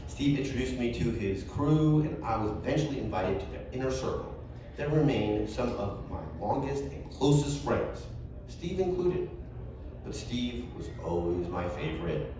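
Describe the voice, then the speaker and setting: A man reading a story aloud at a live event in an animated, conversational comic way. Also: medium pitch, normal speed. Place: live event venue, roomy indoor space. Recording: echoey room, distant mic, background noise.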